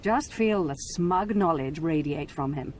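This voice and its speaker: A woman's voice: low-pitched, expressive, measured pace, darkish tone, a little hiss or hum. An actress playing a scheming, possessive noble lady in a video game cutscene, talking in a cold, condescending way.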